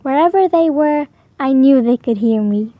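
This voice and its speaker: A woman with a high voice reads a children's storybook aloud. Her delivery is expressive and animated, lifting for the story's dialogue. The sound is dry and close, with a slightly dull edge. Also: moderate pace, no room sound. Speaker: storybook reader.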